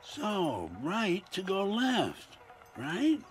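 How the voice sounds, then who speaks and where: A man with an American accent voicing a mellow, spacey, laid-back hippie camper van for a cartoon game. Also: high-pitched, huge pitch swings, drawn-out words, loud music behind the voice, exaggerated voice.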